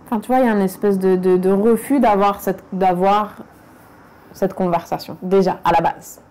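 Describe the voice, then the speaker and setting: A woman's voice: mid-range voice, steady tone, normal speed, darkish tone, low-quality recording. A woman from France, talking frankly and emphatically in a casual interview. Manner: frank and emphatic, speaking directly to the listener. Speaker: documentary interviewee.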